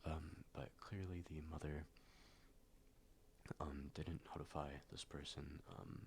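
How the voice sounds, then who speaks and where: A young man, stuffed up and a little nasal after a cold, reading forum posts in a soft-spoken ASMR style, with many ums and slips into whispers. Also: rumbling low, fairly even intonation, takes it slowly, slight background noise. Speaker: ASMR YouTuber.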